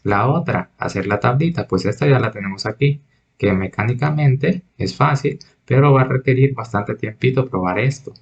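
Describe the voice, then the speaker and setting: A man's voice: medium pitch, normal speed, vocal fry, lo-fi sound. A man teaching a recorded logic lesson, patiently reading examples and explaining them step by step. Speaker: math teacher.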